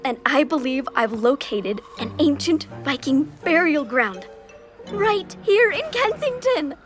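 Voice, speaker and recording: A woman's voice: sits high, measured pace, soft background music. An eager, obsessive teen girl. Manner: excited and insistent. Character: eager, obsessive teenage history nerd girl. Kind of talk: audio drama acting.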